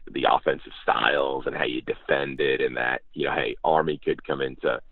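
A man's voice: a bass voice, fairly even intonation, a little fast, gravelly, breathy edge, no echo. A man, a radio sports announcer, giving a rambling conversational interview over the phone, often repeating himself. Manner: rambling, repeats phrases, frequent "you know". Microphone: phone call. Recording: muffled, narrow phone line.

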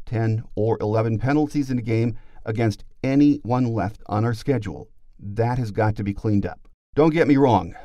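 A man's voice: mid-range voice, mellow, no room sound. A middle-aged or older man hosting a sports podcast, delivering scripted, emphatic, opinionated commentary.

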